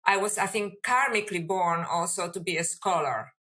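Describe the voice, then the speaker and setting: A woman's voice: on the low side, normal speed, pushed and bright. A woman with a Polish accent, an author and academic, answering interview questions in a thoughtful, musing way.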